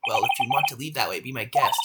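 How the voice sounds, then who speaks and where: A woman voicing a dry, sardonic young male lawyer with deadpan muttered asides in an amateur game fandub. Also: rumbling low, fast, heavy background noise, pitched below the performer's own voice. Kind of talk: amateur visual novel fandub.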